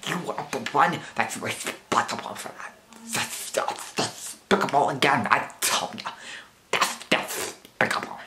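A man's voice: medium pitch, a little slow, bright, forceful tone, roomy sound, a little hiss or hum, pitched above the performer's own voice. A young man doing a spluttering, indignant cartoon duck.

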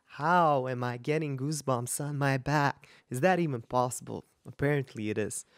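A man's voice: mid-range voice, moderate pace, no room sound. Effusive man giving close-miked reaction commentary. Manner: expressive, emphatic, effusive. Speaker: vocal coach reacting to a performance. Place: small indoor home studio. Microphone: large studio mic up close, headphones on.

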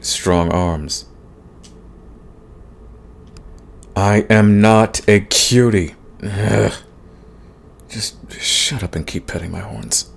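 A low, husky demon played by a man for a romantic ASMR comedy. He is a big tsundere himbo who grumbles, sighs and stammers his objections, gruff on top and soft underneath. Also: heavily acted. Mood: grudging surrender. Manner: grumbling, sighing, stammering protests. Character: flustered tsundere himbo male demon, large, grumpy but soft. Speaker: voice actor.

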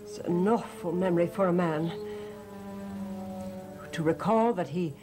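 A woman's voice: lowish voice, unhurried, close to an everyday voice. An actress playing a poised, probing woman in a 1960s television soap, genteel and theatrical, with a sympathetic veneer. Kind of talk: 1960s TV soap opera acting. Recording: vintage TV soundtrack, music clearly behind.

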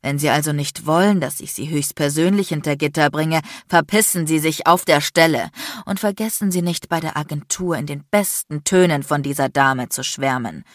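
A woman's voice: low voice, normal speed, dry recording, studio quality, natural voice. Woman voicing an icy, enraged, domineering man. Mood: icy fury, threatening. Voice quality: icy, hissing. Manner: shouting, biting, threatening, crude. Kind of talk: dramatic audiobook character acting.

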